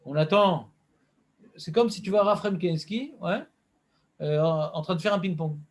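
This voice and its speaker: High-pitched male teacher running a casual class, playful and teasing. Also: moderate pace. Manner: joking, banters with students, animated. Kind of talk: informal Torah class with questions to students.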